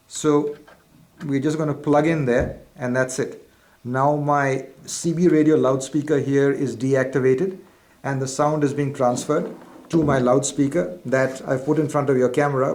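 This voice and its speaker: A man's voice: medium pitch, a little mellow. A man presenting a product in an instructional demonstration, explaining methodically step by step.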